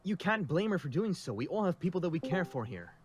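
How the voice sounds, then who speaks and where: A man's voice: high-pitched, big pitch swings, fast talker. A man playing a reasonable, loyal survivor in improvised roleplay, talking persuasively to keep the peace. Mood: irritated. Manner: persuasive, conciliatory.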